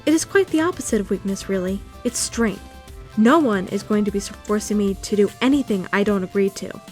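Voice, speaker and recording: A woman's voice: mid-range voice, a little fast, darkish tone, lightly acted. Woman acting a prim, dramatic young student. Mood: indignant. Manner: formal, precise wording with theatrical indignation. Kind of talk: first-person visual novel fan dub narration and dialogue. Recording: music behind the voice.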